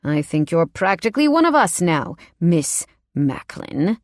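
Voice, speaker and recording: A woman's voice: fairly low pitch, very animated, measured pace, no echo, clean studio sound. A woman voicing a tall, cold, sinister man with a vaguely British accent, clipped and menacingly courteous. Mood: icily polite. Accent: vaguely British, not quite British or American.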